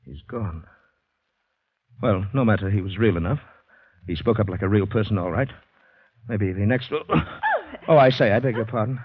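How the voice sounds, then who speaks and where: Haunted ex-soldier, low-pitched, hesitant and brooding; vintage radio thriller with muffled recording. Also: male voice, normal speed, pauses often, slightly rough. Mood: flustered. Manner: brooding, hesitant, talking to himself. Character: anxious ex-soldier fighting down panic, recounting his ordeal. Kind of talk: 1940s radio drama narration and dialogue. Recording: dull old radio recording with music.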